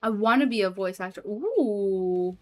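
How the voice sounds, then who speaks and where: A woman's voice: medium pitch, unhurried, darkish tone, faint music behind. A woman streamer chatting casually with her viewers and reading chat aloud, giggling often and trailing off with fillers. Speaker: streamer and hobby voice actor.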